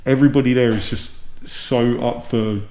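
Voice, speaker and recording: A man's voice: mid-range voice, steady tone, moderate pace, darkish tone. A man from Luton with a southern English accent, chatting informally as a podcast host. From Luton, England. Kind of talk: casual conversational interview. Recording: roomy, echoey indoor sound.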